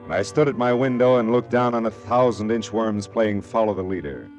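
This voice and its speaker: An actor playing a shrewd, world-weary private detective in mid-century American radio style, with a wry, hard-boiled, knowing manner and an American accent. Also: male voice, lowish voice, a little fast, a little mellow, natural voice. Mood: wry, musing. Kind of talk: 1940s-50s American radio detective acting. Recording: vintage radio drama recording with music.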